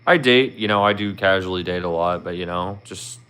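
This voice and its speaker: A man's voice: sits low, a little fast, fairly bright tone, clear, resonant tone. A man in his thirties with a Philadelphia accent, chatting casually with viewers on his livestream in a rambling, friendly way full of "you know". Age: 30s. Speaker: livestream host.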